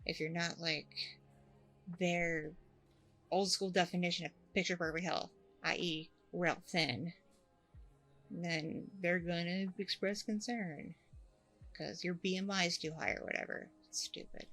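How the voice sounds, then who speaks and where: A woman playing a warm, chatty single working mom on a date, in a casual, rambling ASMR roleplay. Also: fairly low pitch, steady tone, measured pace, faint music behind, poor audio. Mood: frank, easygoing. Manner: casual, rambling, informal slangy talk. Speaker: roleplay performer.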